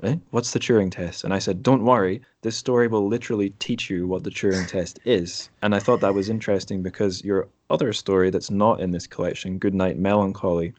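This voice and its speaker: A man's voice: low voice, steady tone, fairly quick, few pauses, a little mellow. A man hosting a podcast, chatting casually and informally through an interview about books, with asides as he explains. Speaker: podcast host.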